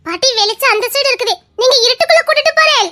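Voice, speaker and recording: A nervous young man, played by a performer lost in a forest at night. He fires off quick, uneasy questions. The voice is very high. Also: sharp tone. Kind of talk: horror skit acting.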